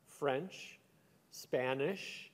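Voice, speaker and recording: A man's voice: medium pitch, very slow. An older man from Finland, a guest at a product demo, giving brief, modest replies on a large auditorium stage. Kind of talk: casual onstage chat. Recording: long hall reverb.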